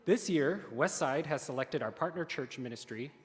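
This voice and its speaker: A man's voice: fairly high pitch, animated, moderate pace. Man reading church announcements in a reverberant sanctuary. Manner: earnest, careful reading with small slips. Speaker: worship service lay leader. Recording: long hall reverb.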